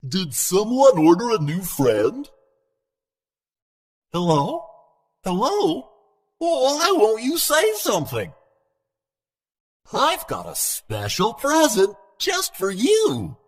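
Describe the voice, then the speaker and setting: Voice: mid-range voice, wildly swooping pitch, takes it slowly, long pauses, breathy edge, big-room sound, exaggerated voice. An actor voicing a cheerful, needy toy animatronic entertainer in a video game, theatrical and falsely friendly with menace underneath. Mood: falsely sweet.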